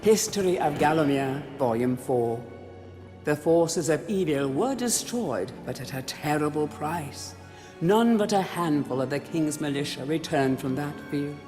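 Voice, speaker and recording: An actor playing a grave chronicler of an old fantasy kingdom, narrating a game's storybook history in a solemn, theatrical way. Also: male voice, on the high side, animated, measured pace, a little mellow. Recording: long hall reverb, music underneath. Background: music.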